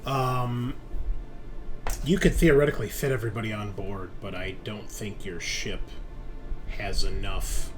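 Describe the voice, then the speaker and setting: A man's voice: on the low side, fairly even intonation, measured pace, faint music behind. A man acting as game master, explaining things informally in a casual tabletop roleplaying session.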